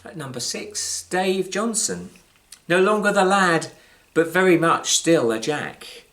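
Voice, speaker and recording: British guy reading his own short story, voice a bit on the high side, dry humour, lots of careful pauses. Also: lively intonation, a bit bright. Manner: measured, wry, dramatic pauses with varied emphasis. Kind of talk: scripted literary storytelling, live reading.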